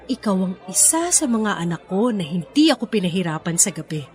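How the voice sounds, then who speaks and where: A woman's voice: mid-range voice, big pitch swings, a little fast, darkish tone, soft background music, close to an everyday voice. An actress playing a proud, affectionate older mother with a Filipino accent in a radio drama. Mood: proud, sentimental.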